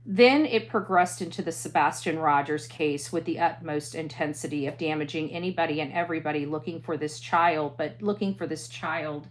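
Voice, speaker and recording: A woman speaking earnestly to an audience as a commentator, halting and emphatic, repeating phrases to press her point. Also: deep voice, a little hiss or hum, poor audio. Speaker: interviewee, online true crime commentator.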